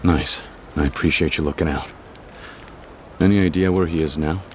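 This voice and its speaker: A man acting a stoic, gruff young yakuza on the run in an English video game dub, speaking in terse, blunt, guarded lines. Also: steady tone, moderate pace, a little hiss or hum. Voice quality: very deep, low voice.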